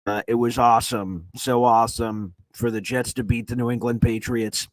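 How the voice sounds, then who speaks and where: Hoarse, excited man on a dry mic. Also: medium pitch, normal speed, no room sound, rough recording. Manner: excited, rambling, with frequent "uh" fillers and restarts. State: hoarse, voice nearly gone from screaming. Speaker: sports reporter and livestream host. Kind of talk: live sports reaction livestream. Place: hotel room. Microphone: streaming setup on weak hotel wifi.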